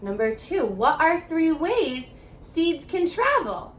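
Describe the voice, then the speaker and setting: High, animated woman teaching young children. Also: takes it slowly, slight background noise. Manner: encouraging, questioning, speaking directly to young pupils. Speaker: elementary school teacher. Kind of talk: children's remote reading lesson, alternating slow read-aloud and chatty prompts.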